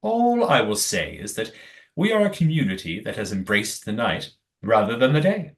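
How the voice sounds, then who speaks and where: Formal, secretive commune leader, animated intonation. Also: male voice, on the low side. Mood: composed, formal. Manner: formal, measured, evasive. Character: calm, secretive male leader of a night-dwelling commune. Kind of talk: audio play acting.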